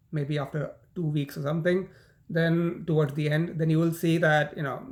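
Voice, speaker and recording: A man with an Indian accent teaching a university law class, his voice sitting a little higher than most men's. He talks in a loose, chatty way, full of "you know" and false starts, as he walks students through the material. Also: a little mellow. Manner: conversational, frequent "you know" and "um", repeats words. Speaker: law lecturer. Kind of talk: recorded university lecture.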